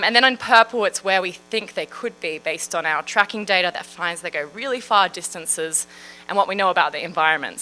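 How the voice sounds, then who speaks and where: Bright-voiced Australian woman giving a talk. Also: mid-range voice, rough recording. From Western Australia. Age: 30s. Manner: friendly, chatty, with frequent fillers. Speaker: marine scientist. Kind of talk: public science talk.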